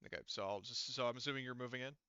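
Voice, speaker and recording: Clear, bright-voiced man running a game. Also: mid-range voice, no echo, highs cut off. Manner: halting, informal, frequent swearing. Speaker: game master. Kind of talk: casual improvised narration of a tabletop game.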